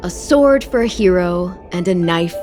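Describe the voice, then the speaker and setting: A woman's voice: on the low side, lively intonation, a little slow. A woman voicing a gracious, hearty restaurant chef in a video game, effusive and fond of telling stories. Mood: fond, admiring. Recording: studio voice with clear music behind. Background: game music.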